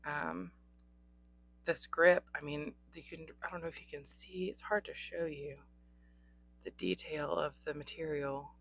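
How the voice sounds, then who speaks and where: A young adult woman with a hoarse voice, hosting a casual pen unboxing with frequent ums. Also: deep voice, unhurried. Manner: chatty, frequent ums, occasional coughs. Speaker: pen reviewer. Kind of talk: unboxing chat. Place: indoors at a desk. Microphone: overhead camera on desk, hands only.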